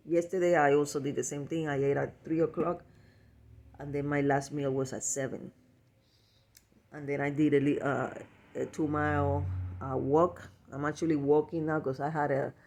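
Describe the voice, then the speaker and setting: Higher-pitched man, halting casual talk. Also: darkish tone. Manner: halting, repetitive, self-correcting delivery. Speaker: vlogger. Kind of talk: casual personal vlog talk.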